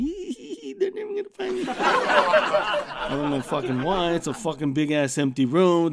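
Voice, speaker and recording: A middle-aged man hosting a podcast, telling a funny childhood story in a loud, profane, animated way and laughing often. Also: a little high. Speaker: podcast host. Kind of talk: casual comedic storytelling.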